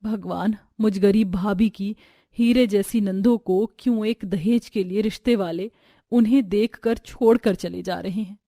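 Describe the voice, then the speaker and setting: A woman's voice: mid-range voice, fairly even intonation, a little slow, warm tone, dry recording, studio quality. A woman voicing a poor, devoted and humble sister-in-law in an earnest, pleading way for a Hindi family story. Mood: pleading, worried.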